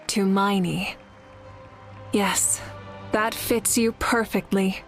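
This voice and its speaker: A woman playing a commanding warrior leader in a video game, solemn and rallying, speaking with measured, dignified oratory. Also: medium pitch, fairly even intonation, a bit bright, soft background music. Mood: calm, warm.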